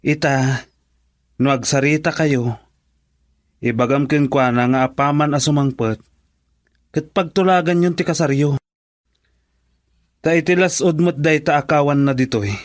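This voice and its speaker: A man acts out an insistent male buddy in a radio drama, with an Ilocano accent. He gives advice in a colloquial, pressing way, at a middling pitch, recorded close and dry. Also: fairly even intonation, measured pace, long pauses, no room sound, lightly acted. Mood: urging, advising. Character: male friend urgently pushing his buddy to commit.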